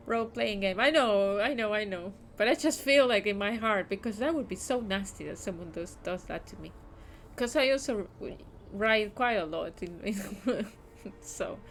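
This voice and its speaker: A woman's voice: mid-range voice, lively intonation, normal speed, slight background noise. A woman streamer with an Argentinian accent, chatting and laughing while playing a game live. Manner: chatty, giggly, reads aloud.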